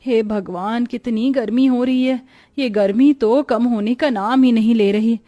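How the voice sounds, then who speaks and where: A woman's voice: fairly high pitch, measured pace, warm tone, faint music behind, close to an everyday voice. A woman voicing a poor, weary, devoted village mother in a cartoon story, speaking tenderly, earnestly and plaintively.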